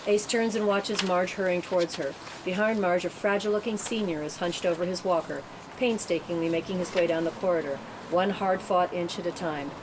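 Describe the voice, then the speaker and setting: A woman narrating screenplay directions at a table read. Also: a little fast. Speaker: narrator reading stage directions. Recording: clear background rumble and room noise.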